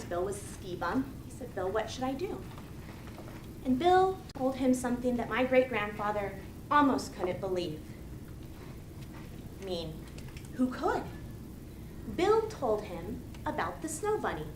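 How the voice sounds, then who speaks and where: A woman with a high voice tells a story as a rehearsed contest speech. Her delivery is theatrical, with deliberate pauses, and it is picked up from a distance in a very echoey room. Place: indoor meeting room. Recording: echoey room, distant mic, faint noise.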